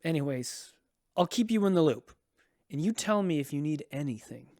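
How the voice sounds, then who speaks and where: An actor playing a worried father and farmer in a sci-fi radio drama, talking in a halting, conversational way. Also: fairly high pitch, lively intonation, darkish tone, no room sound, clean studio sound, close to an everyday voice. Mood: concerned, gentle.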